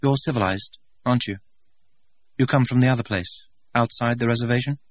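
A man's voice: mid-range voice, fairly quick, no room sound, voice pushed up, close to an everyday voice. A man voicing an emotional young man raised on a reservation, who speaks in peculiar, old-fashioned English with a voice that trembles, in a storybook reading. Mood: earnest.

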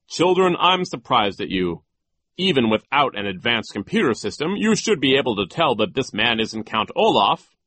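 Guy doing a stuffy pompous grown-up telling kids off, condescending, bright and lively, storybook style. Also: mid-range voice, moderate pace, husky, no echo, voice pushed up, full character voice. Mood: stern, patronizing. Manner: pompous, sternly reproving. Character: stuffy, condescending adult man scolding children.

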